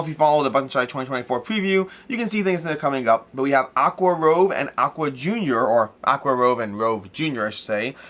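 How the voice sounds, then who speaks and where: A man presents news as a show host in a quick, bright voice of ordinary pitch. His delivery is casual and unscripted, and he tends to ramble, circling back to repeat or fix what he has just said. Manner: rambling, repeats and corrects himself mid-sentence.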